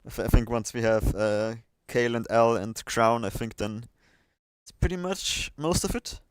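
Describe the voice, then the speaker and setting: A man hosting a livestream in a clean, smooth voice with a very dry, close sound. He chats casually and hesitantly, working out his thoughts as he speaks, at an ordinary male pitch. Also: moderate pace, dry recording. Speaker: livestream host.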